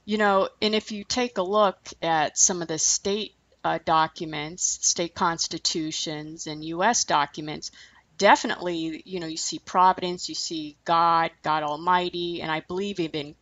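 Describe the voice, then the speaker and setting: A woman with a lowish voice hosts a radio talk show in a smooth, polished announcer manner. The sound is dull and lo-fi, with a dry, close feel. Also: steady tone, moderate pace, fairly bright tone, dry recording. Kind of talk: radio hosting, scripted intro and interview.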